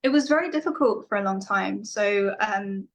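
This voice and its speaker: A woman's voice: medium pitch, normal speed. Woman, young adult, from England, interview guest, reflective, many fillers. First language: Farsi. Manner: reflective, with frequent fillers and restarts. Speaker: guest musician. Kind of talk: casual interview talk.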